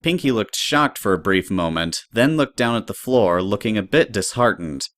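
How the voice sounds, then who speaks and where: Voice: medium pitch, lively intonation, moderate pace, a bit bright, no room sound, studio quality. A narrator reading scripted story prose in an audio drama.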